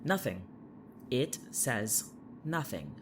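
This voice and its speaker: A man playing a jaded, defensive high school student in an audio drama, speaking in a blunt, sarcastic, irritable way. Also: very animated, slow, a little hiss or hum. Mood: guarded. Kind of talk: audio fiction dialogue.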